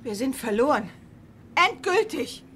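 A woman playing an anxious, excitable young heroine in a German audio drama, with dramatic exclamations. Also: sits high, measured pace, a little hiss or hum, full character voice. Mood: alarmed.

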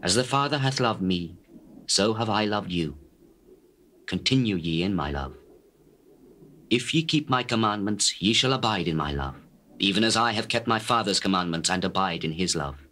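An actor playing a solemn male teacher addressing his disciples in measured, declamatory scripture drama. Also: low voice, expressive, bright, forceful tone. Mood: solemn, instructing. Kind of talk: dramatized King James scripture reading.

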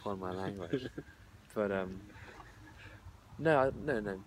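A man's voice: lowish voice, unhurried, a crowd in the background. Young man, stammering, reflective, recorded outdoors by a far camera. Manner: thoughtful, stammers and repeats words. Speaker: discussion participant. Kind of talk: casual group discussion. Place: outdoors at a garden table.

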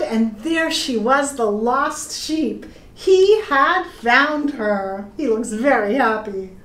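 A middle-aged woman reading a children's story aloud in a deliberate, expressive way, addressing young listeners. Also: on the high side. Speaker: pastor reading stories to children. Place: indoor room with plain walls. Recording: echoey room.

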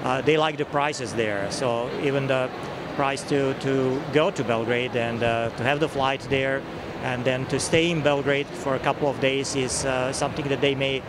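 A middle-aged man with a Serbian accent, a native Serbian speaker, promoting a race in careful, halting English in a busy indoor hall. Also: medium pitch, fairly even intonation. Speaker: race representative. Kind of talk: expo interview. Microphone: handheld mic held by interviewer. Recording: noisy expo hall background.

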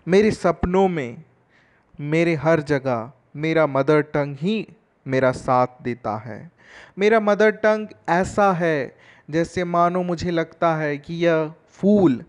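Slow, deliberate male teacher. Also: a little high. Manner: reads verse lines very slowly, then explains in a mix of Hindi and English. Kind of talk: line-by-line poem explanation. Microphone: voice-over over text slides.

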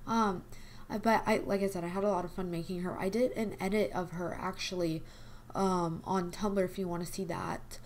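A young woman hosting a casual game video, her voice hoarse and quiet from a cold, rambling with lots of fillers. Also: lowish voice, fairly even intonation, moderate pace, slight background noise. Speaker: gaming channel host. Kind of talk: casual chat over gameplay. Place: home desk at night.

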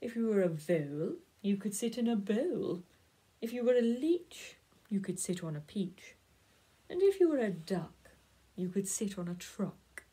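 A woman voicing a smug, bossy male frog in a read-aloud picture book, loud and high. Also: very animated, measured pace, breathy edge.

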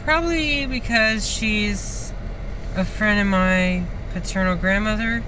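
A young man vlogs casually from inside a car, with clear vehicle noise around him. He speaks very slowly, in halting fragments with long gaps between them. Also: sits high. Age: young adult. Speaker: vlogger. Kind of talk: casual vlog talk to camera. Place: inside a parked or standing car. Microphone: phone or camera at arm's length.

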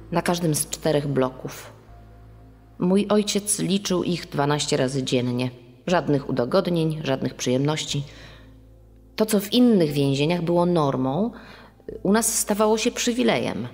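A woman playing a prison guard's daughter recalling the past in measured, sombre documentary testimony. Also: deep voice, a little mellow. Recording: long hall reverb, music underneath.

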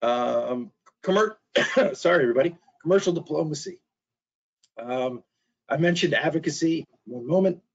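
A middle-aged man giving a webinar presentation, hoarse and losing his voice, halting with fillers and restarts and clearing his throat. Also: fairly high pitch, animated. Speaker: trade specialist presenter. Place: small office. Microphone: video call. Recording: dull lo-fi video call audio.

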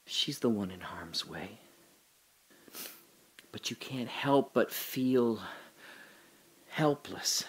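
An actor playing a dying older father, telling his memories in a halting, breathy dramatic monologue that drops to whispers. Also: mid-range voice, lively intonation. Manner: halting, breathy, emotional, many pauses and restarts. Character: dying old father recalling his daughter's birth.

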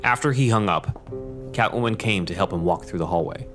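A man's voice: low voice, fairly quick, music in the background. A man reading dramatic scripted narration as the narrator of an audio drama. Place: recorded voice-over with music behind.

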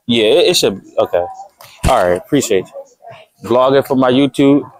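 A young man chatting casually to his vlog viewers while he works in his kitchen at home. He talks in a relaxed, chatty way and keeps calling the audience "y'all". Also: mid-range voice, moderate pace, no room sound. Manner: laid-back, chatty, addresses viewers as y'all. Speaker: vlogger.

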